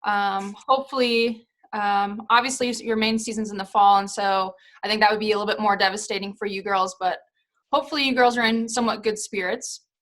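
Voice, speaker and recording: A woman in her thirties talks to a team over a video call in a relaxed, chatty way, full of little fillers and hedges. Her voice is bright and forward, at an ordinary middle pitch for a woman. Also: brisk. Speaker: guest speaker, former pro soccer player. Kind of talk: casual talk on a video call.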